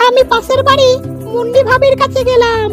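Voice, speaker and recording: A woman voicing a brisk, chatty, scolding village wife in a children's cartoon. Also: very high-pitched, level tone, music in the background. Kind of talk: Bengali children's cartoon dialogue.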